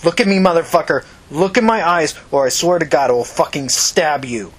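A man's voice: fairly high pitch, a little fast, pitched above the performer's own voice, natural voice. A man voicing a vengeful, foul-mouthed young woman who threatens and commands in short emphatic bursts in an audiobook story. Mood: furious.

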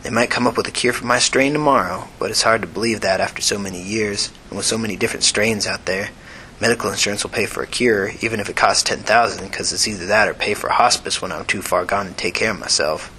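A male reader performs a frail, sickly man from an audiobook story with a soft, folksy Southern drawl. He mumbles low and unhurriedly, tired and resigned. A faint noise sits behind the voice. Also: quick pace, poor audio. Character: sickly thin weary man, slow and resigned.

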